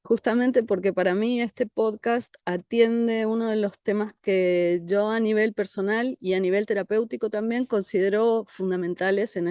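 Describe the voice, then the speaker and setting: Calm Argentine woman, dry close sound. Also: mid-range voice, clear, resonant tone, no room sound. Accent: Argentine Spanish. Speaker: guest therapist. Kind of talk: conversational interview. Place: country house outdoors among trees.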